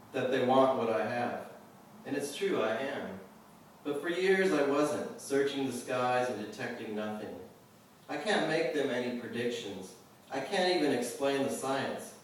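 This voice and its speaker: A man giving a live poetry reading in a measured, deliberate way, pausing at the ends of lines. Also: medium pitch. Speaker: poet. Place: indoor reading venue with a live audience. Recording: very echoey room, distant mic, faint noise.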